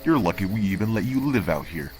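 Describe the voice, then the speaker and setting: A man's voice: fairly low pitch, animated, quick pace, darkish tone, faint music behind, natural voice. A man playing a cold, disdainful council envoy, speaking formally and condescendingly in a comic dub. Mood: cold, dismissive. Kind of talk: amateur comic dub acting.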